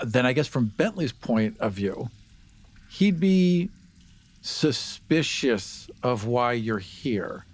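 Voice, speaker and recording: A man's voice: medium pitch, sing-song, slow pace. A man playing a tabletop game, bantering casually with jokey questions. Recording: music playing behind the voice.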